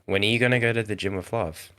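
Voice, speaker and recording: A man's voice: fairly low pitch, steady tone, quick pace, sharp tone. A man chatting casually with friends, joking and teasing them. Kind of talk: casual gaming chat.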